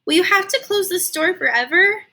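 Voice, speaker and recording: Woman voicing an earnest young girl, bright-toned. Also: very high voice, lively intonation, normal speed, pitched above the performer's own voice, heavily acted. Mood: worried.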